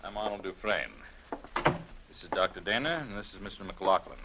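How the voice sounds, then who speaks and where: A man's voice: low-pitched, animated, normal speed. A man acting a composed, curt company chief in a 1950s radio drama. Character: composed male company chief receiving a visitor. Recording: very noisy old radio recording.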